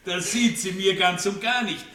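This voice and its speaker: An actor playing a coarse, self-satisfied country baron in a radio play, with a Viennese accent and pompous, leering bluster. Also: male voice, high-pitched, normal speed, sharp tone. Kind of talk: German-language radio play acting. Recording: roomy, distant, lightly noisy old radio recording.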